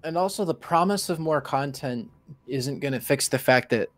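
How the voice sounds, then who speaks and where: A man's voice: medium pitch, moderate pace, fairly bright tone. A man giving casual, critical and bored commentary as he plays a game with a partner.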